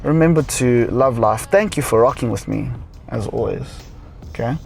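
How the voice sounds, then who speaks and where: A young man from South Africa, a vlogger, talking calmly and thoughtfully in a reflective monologue while sounding tired. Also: medium pitch, moderate pace, vocal fry. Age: young adult. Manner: calm, musing, thoughtful.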